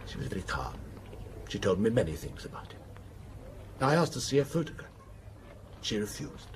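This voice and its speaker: An actor playing a rich, wronged man making an anguished confession in a radio drama, in halting, clipped phrases. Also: medium pitch, background noise. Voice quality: wheezy.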